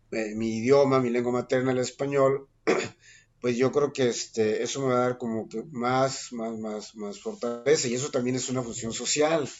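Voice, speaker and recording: A man, a guest poet answering interview questions thoughtfully, pausing often with fillers and restarting his sentences. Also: medium pitch, darkish tone. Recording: dull lo-fi recording.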